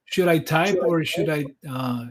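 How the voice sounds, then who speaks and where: A man in his sixties with a Polish accent, a guest on a live stream, hesitant and halting with many fillers. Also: fairly high pitch, over a noisy crowd. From Poland. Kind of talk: casual interview talk.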